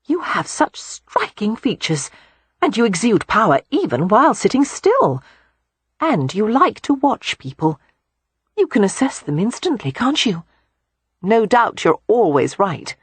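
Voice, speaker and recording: A woman's voice: mid-range voice, big pitch swings, measured pace, husky, no room sound, voice pushed up. A woman voicing an eager young lady. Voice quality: bright, earnest. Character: eager, bright young gentlewoman, frank and warm.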